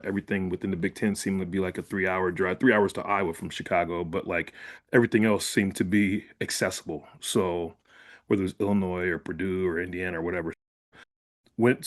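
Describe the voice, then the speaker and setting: A man in his fifties from Ohio, a former college fullback, telling stories in a relaxed, reflective way as a guest in an interview. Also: deep voice, fast talker. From Columbus, Ohio. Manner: relaxed, reflective storyteller with frequent "like". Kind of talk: casual interview storytelling.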